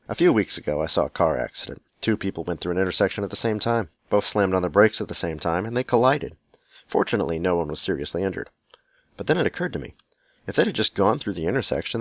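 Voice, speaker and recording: A man's voice: fairly low pitch, vocal fry, no room sound. A man hosting a solo podcast in a casual, sarcastic style, speaking close to the microphone. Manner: sarcastic, sweary. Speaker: podcast host. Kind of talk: solo podcast talk, casual and sardonic, reading listener mail.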